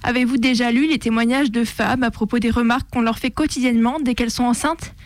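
A woman's voice: on the high side, steady tone, fast talker, no echo. A woman with a French accent presenting a scripted opinion piece on radio in a militant, rhetorical, slangy way. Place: radio studio.

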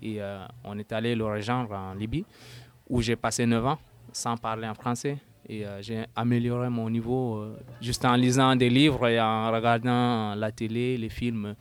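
A young man from Sudan in his twenties giving an earnest personal testimony as an interview guest, halting and searching for words, turning forceful when indignant. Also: mid-range voice, little pitch movement, clean tone. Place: at a housewarming gathering.

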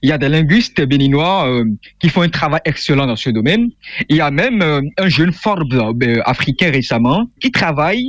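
A man's voice: on the high side, animated, moderate pace, a bit bright, dry recording, muffled recording. A young man from Benin, a guest author speaking earnestly with frequent hesitations. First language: Fongbe. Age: young adult. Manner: earnest, explanatory, many hesitations. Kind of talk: interview conversation.

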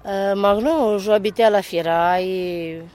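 A woman's voice: medium pitch, normal speed, darkish tone, slight background noise. A woman from Romania with a Romanian accent, a native Romanian speaker, answering an informal interview in broken, searching phrases. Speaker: interviewed shanty town resident.

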